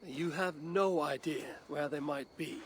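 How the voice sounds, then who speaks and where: A man's voice: fairly high pitch, a little slow, lightly acted. Man playing a wry, lofty Norse god, noisy background. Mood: curious. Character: proud, witty Norse god, male, self-assured and teasing. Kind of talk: video game cutscene acting. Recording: clear background ambient noise.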